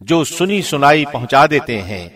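A man's voice: on the high side, big pitch swings, fairly bright tone, in a large hall. A man reading a scripture translation from a script in a formal radio presenter's style.

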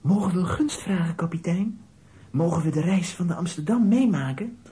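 A woman's voice: lowish voice, animated, normal speed, dark tone, husky. A woman playing an eager, plucky young boy in a children's radio play, earnest in manner. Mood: pleading.

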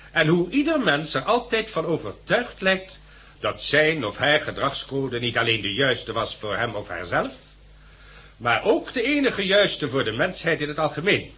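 A man's voice: fairly high pitch, bright, forceful tone. A Dutch man playing an elderly, pedantic sociology professor in a 1960s radio drama, lecturing in florid sentences. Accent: Dutch, Netherlands. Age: older.

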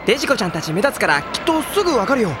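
A woman voicing an excitable, timid, lovestruck young boy in an anime audio drama, with a boyish voice and a melodramatic delivery. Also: a little high, racing, heavy background noise. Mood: suggesting. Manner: melodramatic, swinging between chatter, wails and sighs. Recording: music playing loudly behind.

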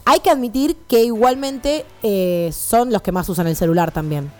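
A woman's voice: medium pitch, moderate pace, a little mellow, faint music behind. A woman in her twenties with an Argentine accent, chatting casually and with firm opinions as a radio co-host. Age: 20s. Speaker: radio show co-host and columnist.

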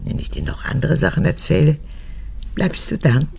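A woman's voice: sits low, very animated, normal speed, vocal fry, a little mellow, a little hiss or hum, full character voice. An actress playing a frail, cracked-voiced old woman, a needy and spiteful mother with a childlike wheedling manner, in a radio drama. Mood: pleading. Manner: wheedling, childlike, swinging between whisper and outburst.

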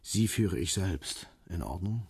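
A cool operative in a radio thriller. His very deep voice is husky and full of gravel. He gives terse, businesslike orders with quiet command. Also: lively intonation, a little slow, dry recording, studio quality, exaggerated voice. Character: cool, commanding Russian undercover operative leading an ambush. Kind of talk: radio crime drama acting.

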